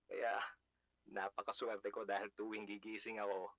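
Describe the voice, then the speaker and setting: Gravelly, doting Filipino husband played by actor. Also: male voice, fairly low pitch, little pitch movement, moderate pace, no echo, close to an everyday voice. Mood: playful, adoring. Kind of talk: Filipino radio drama acting. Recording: dull lo-fi phone video sound.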